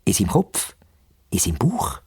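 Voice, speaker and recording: A man with a Swiss German accent narrating a story for young children expressively, with dramatic pauses and repetition. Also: lowish voice, wildly swooping pitch, a little mellow, slightly rough.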